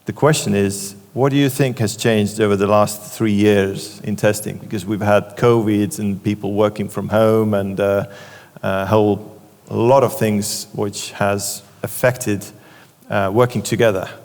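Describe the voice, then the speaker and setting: A man's voice: fairly low pitch, fairly even intonation, unhurried, darkish tone. A man moderating a conference panel, talking loosely with many fillers. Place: conference stage in a large hall. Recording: long hall reverb.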